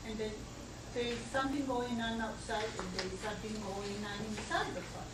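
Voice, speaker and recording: A woman from Peru asks a question from the audience, halting and searching for her words. Her voice sits slightly high and is heard from far off in a very echoey room, with clear background noise. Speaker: audience member asking a question.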